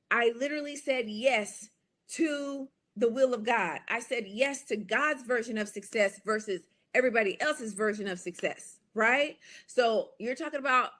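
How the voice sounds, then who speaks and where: A woman in her forties with an American accent, giving a casual, chatty presentation as a business coach, often checking in with the audience. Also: a little high. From United States. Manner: lively and chatty, with frequent "okay?" and "y'all" asides.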